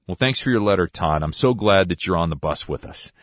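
A man with a very low voice hosts a radio ministry program. His delivery is friendly and measured, like a practiced announcer. The sound is dry and close, with no room around it. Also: expressive, dry recording. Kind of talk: scripted radio introduction and letter reading.

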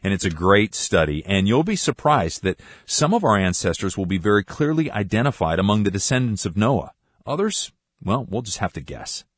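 A man hosting a radio Bible program, reading listener letters in a friendly, measured announcer manner. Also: lowish voice, big pitch swings, no echo, studio quality. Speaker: radio ministry host.

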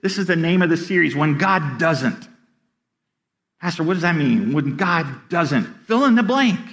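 A middle-aged pastor preaches with an earnest, plain delivery. His voice sits high for a man, and he often stumbles over and repeats the first word of a sentence as he builds his point. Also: expressive, normal speed, a bit bright. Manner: earnest, repeats words when starting sentences. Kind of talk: sermon.

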